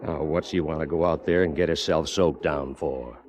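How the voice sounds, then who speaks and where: An actor playing a gruff, plain-spoken stage driver with a rural Western American accent and folksy bluster, in an old radio western. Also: male voice, extremely low pitch, steady tone, moderate pace. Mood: grumbling. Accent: rural American West. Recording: slightly noisy old radio recording.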